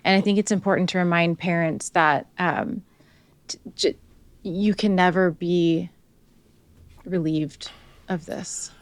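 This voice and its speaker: Lower-voiced woman host, dry sound. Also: steady tone, slow, long pauses, dry recording. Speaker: podcast host. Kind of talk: interview questions.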